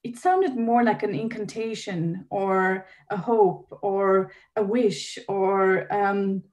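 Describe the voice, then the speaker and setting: A woman's voice: medium pitch, slow, darkish tone. A woman from Austria interviewing a guest, speaking hesitantly with many fillers. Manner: hesitant, many ums and uhs. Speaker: interviewer, host. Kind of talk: conversational interview.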